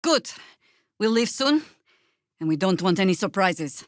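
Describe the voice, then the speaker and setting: A woman playing a stern, wry older female druid warrior in a video game, speaking in a dry, measured, sardonic way. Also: medium pitch, very animated, dry recording. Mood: dry, commanding. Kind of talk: scripted video game character lines.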